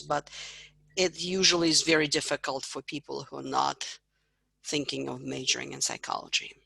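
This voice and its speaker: A woman professor leading an online class, greeting students and asking them to introduce themselves in an encouraging, brisk manner. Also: low-pitched, gravelly, pushed and bright, husky, no echo. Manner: upbeat, encouraging, brisk questions. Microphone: computer mic on video call.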